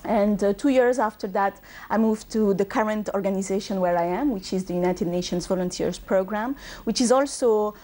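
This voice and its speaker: A woman's voice: mid-range voice, normal speed, hardly any pauses, mellow. A woman from Bangladesh who grew up in Belgium, a UN regional manager answering interview questions fluently, with frequent ums and uhs. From Bangladesh, raised in Belgium.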